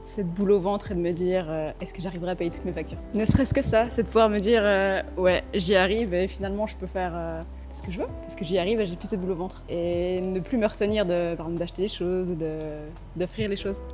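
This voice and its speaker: A woman's voice: on the low side, steady tone, darkish tone, faint music behind. A woman building her own brand, answering questions casually with many hesitations. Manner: quick, hesitant, many fillers. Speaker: student entrepreneur. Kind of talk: casual chat.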